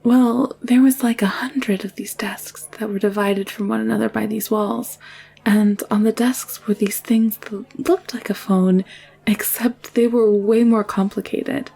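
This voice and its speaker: Woman playing a breathy, anxious young alien nurse. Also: mid-range voice, fairly even intonation, a little slow. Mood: haunted, searching. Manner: hushed, hesitant, confiding. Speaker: voice actor in audio roleplay. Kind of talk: intimate scripted audio drama acting.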